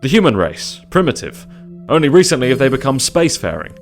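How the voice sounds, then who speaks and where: A man playing an older, cynical Time Lord ambassador in an audio drama, formal and measured in manner. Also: medium pitch, sing-song, faint music behind.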